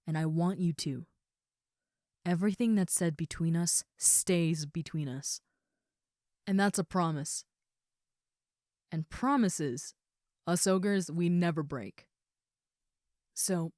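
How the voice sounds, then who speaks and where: A woman playing a big, caring female ogre roommate in an intimate comfort roleplay, speaking in a soothing, earnest way with pauses and soft moments. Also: on the low side, no echo, studio quality. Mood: soothing, understanding. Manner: soothing, earnest, hushed at times, with pauses and sighs. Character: gentle, caring seven-foot-six muscular female ogre roommate.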